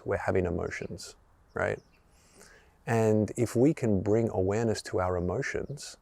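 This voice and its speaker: A young man hosts a reflective podcast, speaking in a calm, gentle teaching tone. His voice is dark and slightly husky, with a gravelly edge. The sound is dry and close, with no room echo. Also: lowish voice. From Australia. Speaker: podcast host. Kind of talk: reflective solo podcast talk.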